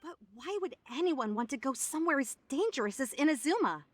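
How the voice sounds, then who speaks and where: A woman's voice: sits high, lively intonation, brisk, dry recording. A woman playing a nervous, gossipy, starstruck young tournament onlooker in a video game. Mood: worried, puzzled.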